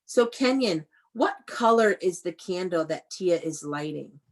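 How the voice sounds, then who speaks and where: A woman's voice: lowish voice, animated, a little slow. A woman teaching young children in an online read-aloud class, prompting them with questions and encouragement in an instructive way.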